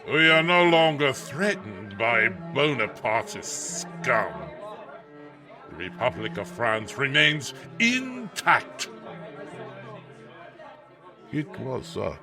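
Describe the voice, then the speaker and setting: An actor playing a jovial, pompous middle-aged aristocrat in a period audio drama, with a declamatory manner. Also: male voice, medium pitch. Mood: smug. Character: pompous, jovial aristocratic father, French royalist marquis. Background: music.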